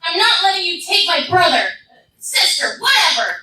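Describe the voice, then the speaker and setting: A woman's voice: very high-pitched, very animated, moderate pace, pushed and bright, heavily acted. A woman playing a plucky, protective young sibling in a live radio play, flippant and stubborn. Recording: roomy fan recording, distant, faint noise.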